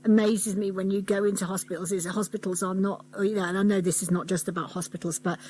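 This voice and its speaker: A woman's voice: medium pitch, level tone, fast talker, dark tone. Woman interviewing, faint background hum. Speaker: radio interviewer. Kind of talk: conversational radio interview.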